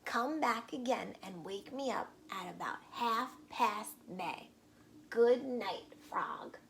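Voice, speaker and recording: Sleepy male toad played by a woman for a storybook: reluctant, grumpy, drawn-out and creaky. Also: a little high, fairly bright tone, a little hiss or hum, full character voice. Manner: slow, drawn-out, weary speech.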